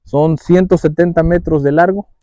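A man's voice: fairly high pitch, steady tone, normal speed, warm tone, dry recording, highs cut off. A man in his thirties with a Mexican accent, giving a public speech outdoors as a municipal president, with many filler words. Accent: Mexican Spanish. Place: outdoors at a rural dirt road. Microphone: lapel mic.

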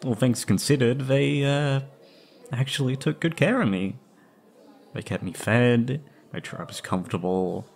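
An anxious, conflicted young man in an audio roleplay, acted by a male performer. His voice sits at an average male pitch. He speaks slowly and haltingly, with a hesitant, wistful manner. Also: creaky. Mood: defensive, uneasy. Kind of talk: scripted roleplay audio drama, ASMR.

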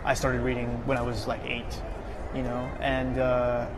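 A man in his thirties from Alabama, telling stories in a relaxed way with many fillers during an interview. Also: medium pitch, a little slow. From Birmingham, Alabama, USA. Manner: relaxed, flat storytelling with fillers. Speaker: author guest. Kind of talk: interview conversation. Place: festival lounge set in a busy hall. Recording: background noise of a busy hall.

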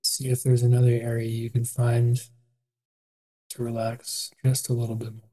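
A meditation guide with an adult voice, leading a body scan in a calm, unhurried, gentle way with pauses. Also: medium pitch, breathy edge, poor audio.

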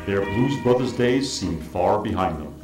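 Guitar music plays behind an echoey male narrator. He reads scripted narration in a lowish voice. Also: animated, a little slow.

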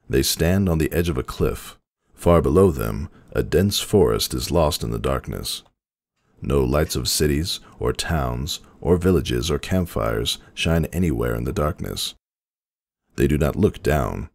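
Very deep, gravelly male narrator. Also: darkish tone, dry recording, studio quality. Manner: measured, solemn. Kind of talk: dramatic storybook narration.

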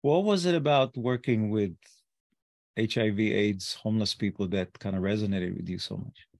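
A man's voice: fairly low pitch, normal speed, creaky, darkish tone, dry recording, studio quality. A middle-aged man from Israel, hosting an interview over a video call and chatting in a rambling way with restarts. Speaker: podcast host. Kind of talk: casual interview chat. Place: home office, indoors. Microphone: video call webcam.

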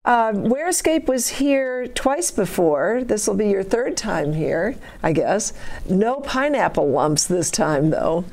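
A woman's voice: mid-range voice, sing-song, slow, vocal fry, darkish tone. A woman moderating a briefing, introducing the guests in a chatty, joking way.

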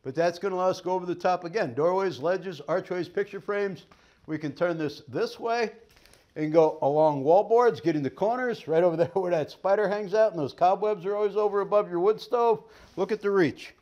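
A middle-aged man with a slightly high voice runs a cheerful, folksy product demo. He talks in easy, jokey patter as he works with the gear. He is recorded from a wide camera in a staged living room set. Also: brisk, a little mellow, muffled recording. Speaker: sales trainer. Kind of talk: product demonstration.

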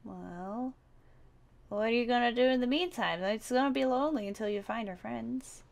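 A woman's voice: a little high, normal speed, vocal fry. A woman playing a blunt, curious young survivor in an improvised game roleplay, talking casually.

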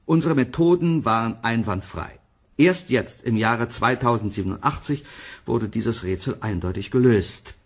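An authoritative male scientist lectures in a radio play interview. His mid-pitched, husky voice is measured and precise. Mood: earnest, lecturing. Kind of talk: radio drama acting as a broadcast interview.

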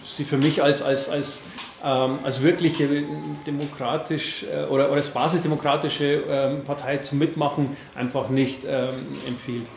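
A man in his forties with an Upper Palatinate accent, answering questions at a press conference in a halting way, with many fillers and restarts. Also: medium pitch, level tone, roomy sound, slight background noise. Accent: Upper Palatinate, Franconian-tinged. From Bavaria, Upper Palatinate. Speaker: party board member at press conference.

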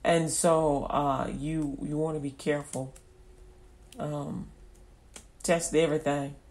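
A woman's voice: deep voice, little pitch movement, slow, a little mellow. A woman preaching an informal radio sermon, folksy and repetitive, acting out little scenes as she goes.

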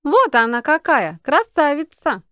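A woman's voice: high voice, sing-song, measured pace, pushed and bright, no room sound, clean studio sound, full character voice. A woman voicing a polite, fretful female character in a comic family audio play.

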